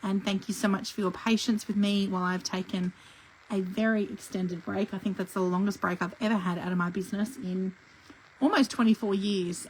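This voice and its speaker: A middle-aged woman leads a live scrapbooking lesson, talking through each step in a chatty, friendly way. Her voice is croaky from a cough that hasn't gone away, and she clears her throat now and then. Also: mid-range voice, low-quality recording. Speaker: scrapbooking instructor. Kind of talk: live craft tutorial.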